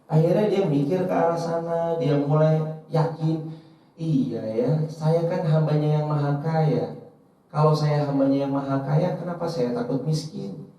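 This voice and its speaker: Mellow-voiced male preacher in echoey room. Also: fairly high pitch, a little slow. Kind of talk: sermon to an audience, quoting and translating Arabic scripture. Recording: roomy echo, distant mic, slightly dull.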